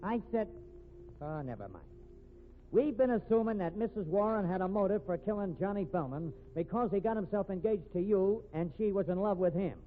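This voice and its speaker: An actor playing a gruff, stubborn, irritable police detective, blunt and slangy, in 1950s radio drama. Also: male voice, high voice, expressive, moderate pace. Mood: exasperated. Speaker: police investigator. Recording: tinny, noisy vintage radio recording.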